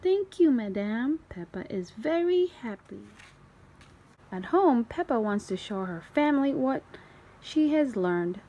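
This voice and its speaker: A woman's voice: a little high, measured pace, muffled recording. A woman reading a children's storybook aloud expressively as a storytime host, acting out the dialogue. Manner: expressive, acts out dialogue and sound effects.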